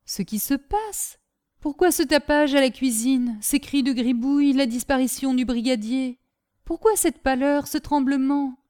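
Woman reading as a kindly, fatherly gentleman. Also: on the high side, normal speed, voice pushed up, natural voice. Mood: worried. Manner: paternal, kind, concerned. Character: kindly gentleman master of the house, fatherly, concerned. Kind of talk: audiobook character acting.

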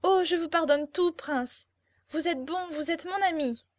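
A woman's voice: high-pitched, moderate pace, dry recording, voice pushed up, natural voice. A woman reading a storybook, voicing a fiery, imperious young captive beauty with impassioned, theatrical exclamations. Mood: elated.

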